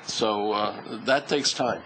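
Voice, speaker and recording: A man's voice: on the low side, takes it slowly, background noise. A man on a charity panel, answering audience questions in a hesitant way with many fillers.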